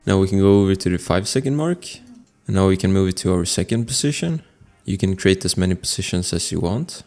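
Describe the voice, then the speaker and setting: Deep gravelly man narrating a tutorial. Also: lively intonation, brisk, darkish tone. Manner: informal step-by-step explaining. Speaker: tutorial presenter. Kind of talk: casual software tutorial narration. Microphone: close desktop microphone voice-over. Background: music.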